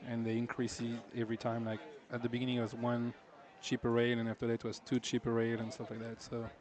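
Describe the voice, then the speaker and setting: French-accented man commentating pool. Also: medium pitch. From France. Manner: relaxed, joking, halting with restarts. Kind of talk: casual live commentary.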